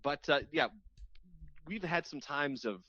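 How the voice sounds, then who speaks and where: A man's voice: on the high side, measured pace, sharp tone, no echo. Man chatting reflectively, slightly dull sound. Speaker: radio host appearing as a podcast guest. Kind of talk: casual conversational interview.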